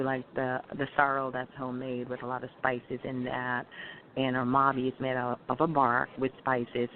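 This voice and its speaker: A middle-aged restaurant owner from Guyana, answering interview questions in a friendly, chatty way. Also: mid-range voice. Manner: friendly, explains dishes at length with fillers. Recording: tinny phone-like line with faint hiss.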